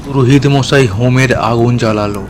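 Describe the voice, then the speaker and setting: A man telling a scripted horror story as narrator, in a measured, dramatic manner. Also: fairly even intonation. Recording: clear noisy ambient rumble behind narration.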